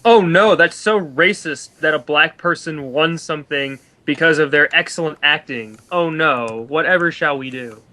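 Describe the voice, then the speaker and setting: Casting: prissy, easily scandalized male moviegoer, acted by a man, sarcastic melodrama, higher-pitched, bright voice. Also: normal speed, hardly any pauses. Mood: mock dismay.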